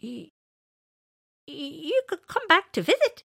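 Woman putting on a high-pitched, gentle elephant. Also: wildly swooping pitch, slow pace, creaky, dry recording, studio quality, full character voice. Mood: shy, kind. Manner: gentle, hesitant. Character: kindly, hesitant, innocent elephant who flies.

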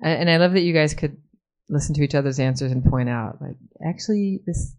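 A woman's voice: low-pitched, fairly quick, darkish tone. A woman moderating a panel of authors, asking long, rambling questions in a friendly way.

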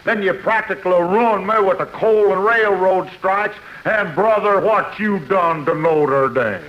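A man's voice: high-pitched, a little slow. An actor playing a folksy, grumbling, brash national father figure in a 1950s radio comedy sketch. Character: Uncle Sam, folksy, grumbling family man. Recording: muffled, noisy old radio recording.

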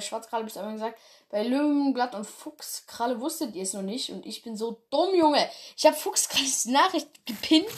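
Chatty woman with a higher voice, giggly and rambly, talking from her bedroom. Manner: giggly, rambling, self-deprecating. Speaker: host of a fan clan roleplay channel. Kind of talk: casual chatty vlog.

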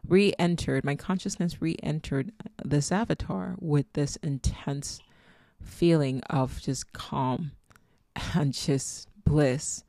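A woman's voice: low voice, takes it slowly, dark tone, no echo. A woman with a Nigerian accent hosting a solo podcast, musing reflectively and meandering as she talks. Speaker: podcast host. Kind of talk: casual solo podcast monologue.

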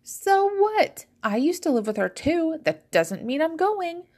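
A woman voicing a brash, excitable, blunt grown daughter in an audiobook story. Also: mid-range voice, normal speed, gravelly. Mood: appalled. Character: brash, gleeful, unforgiving grown daughter on the phone.

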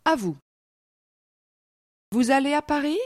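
A woman teacher reading slow, clear repetition drills for learners, with deliberate pronunciation and pauses. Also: high voice, lively intonation, no room sound, studio quality, faint music behind. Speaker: language teacher. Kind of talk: slow scripted language drill.

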